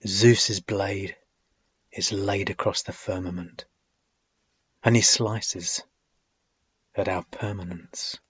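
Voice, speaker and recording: A man giving a solemn poetry reading, deliberate and measured, with dramatic phrasing, long pauses and husky, breathy phrase endings. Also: deep voice, steady tone, dull audio. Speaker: poet reading own work.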